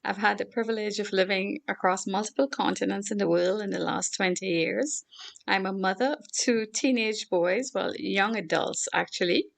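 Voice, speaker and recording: A middle-aged woman from Trinidad and Tobago with a Trinidadian accent, talking earnestly and in a measured way as a show guest. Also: medium pitch, steady tone, gravelly. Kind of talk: conversational interview talk.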